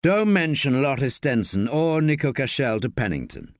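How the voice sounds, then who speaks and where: A man's voice: mid-range voice, sing-song, normal speed, dry recording, clean studio sound, natural voice. A man voicing a guarded, wry male detective in a narrated story. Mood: brisk, evasive.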